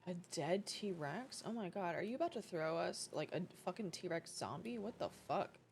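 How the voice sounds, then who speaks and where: A woman's voice: fairly low pitch, animated, normal speed, gravelly, darkish tone, slight background noise. A woman streamer whose first language is not English, chatting casually with her viewers, swearing freely and laughing often.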